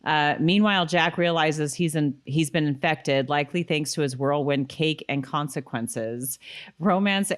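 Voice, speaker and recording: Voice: mid-range voice, measured pace. A podcast co-host chatting casually and reading recap passages aloud in a conversational way.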